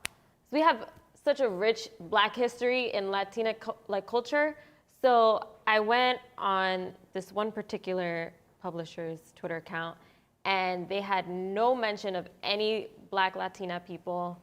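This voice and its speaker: Chatty storytelling woman. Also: medium pitch. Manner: chatty storytelling, laughs easily. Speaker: guest panelist. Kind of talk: casual panel conversation.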